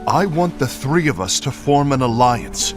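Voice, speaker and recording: An actor playing a hot-headed, earnest comrade rallying allies in an animated game film, speaking with dramatic force. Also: male voice, medium pitch, normal speed, over music, close to an everyday voice. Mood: determined, grave.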